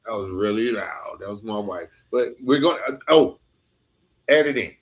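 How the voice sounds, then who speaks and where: A man's voice: fairly low pitch, expressive, moderate pace. A man hosting a podcast and interviewing a guest in an informal, conversational way, often turning aside to speak to listeners.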